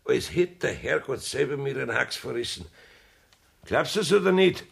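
Gruff Bavarian village judge: husky, evasive grumbler, widely swinging pitch, radio drama. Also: male voice, sing-song, moderate pace, heavily acted. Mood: indignant. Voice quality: gravelly, husky. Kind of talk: dialect radio play acting, 1970s.

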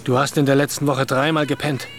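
An actor playing a kind young coworker who talks in a calm, gentle, reassuring way in a radio drama. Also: male voice, medium pitch, a little fast, creaky, lightly acted. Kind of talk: German radio drama acting.

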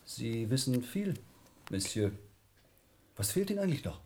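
A man's voice: mid-range voice, very animated, moderate pace, a little mellow, slight background noise. An actor playing a wary, desperate male criminal with a pleading, volatile manner in a crime radio play. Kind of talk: crime radio drama acting.

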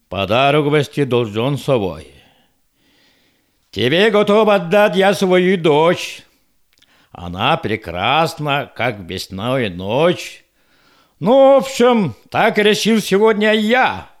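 An actor playing a proud native father in a verse audio drama, speaking in a declamatory, emphatic way. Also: fairly high pitch. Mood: insistent. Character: proud native father offering his daughter in marriage.

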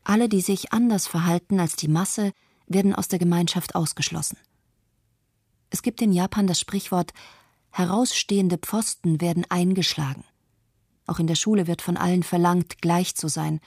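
A thoughtful mother played by a woman narrates in a radio play, measured and troubled by guilt. Her voice is on the low side and softly dark, recorded close and dry. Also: steady tone, no echo. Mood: troubled, pensive. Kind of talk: scripted first-person narration in a radio documentary play.

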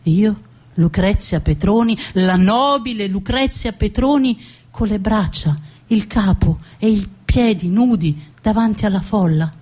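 A woman playing a proud, defiant noblewoman who tells her own story as a dramatic, deliberate theatrical monologue with emphatic repetitions. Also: mid-range voice, dark tone, lightly acted.

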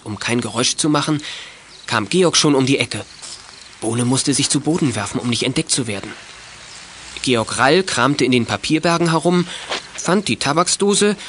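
A man's voice: mid-range voice, fairly bright tone, slight background noise, lightly acted. A man narrating a children's detective audio drama in scripted storytelling style.

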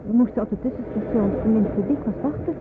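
A woman's voice: a little high, fast, phone-quality sound, close to an everyday voice. A woman playing an earnest, uneasy young woman in a radio drama, hesitant and polite, on a noisy old recording. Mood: polite, curious. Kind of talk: Dutch radio drama acting.

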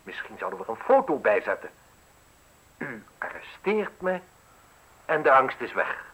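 A man's voice: fairly high pitch, very animated, normal speed, vocal fry, a bit bright, husky, lo-fi sound, full character voice. A man acting a ruthless, mocking journalist in a radio play, articulate and insinuating as he interrogates. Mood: menacing, mocking. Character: probing, mocking, ruthless male newspaper journalist needling an official.

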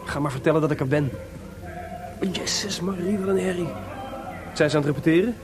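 An actor playing a spirited, cheeky young composer in a theatrical Dutch radio play. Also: male voice, on the high side, fairly quick, over music, natural voice. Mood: mocking.

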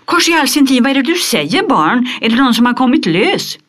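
Woman doing shrill, panicky old ladies. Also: sing-song. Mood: panicked. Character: two frightened, fussy older ladies.

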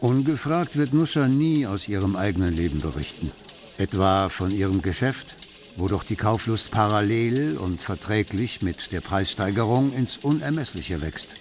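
An actor narrating a literary radio play as a storyteller. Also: male voice, fairly low pitch, expressive, moderate pace, a little hiss or hum, natural voice.

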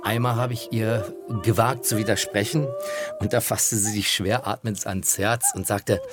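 A man's voice: on the low side, fairly even intonation, moderate pace. A man narrating personal reminiscences as author of a radio feature. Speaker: author and narrator, former magazine editor-in-chief. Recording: music behind the voice. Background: music.

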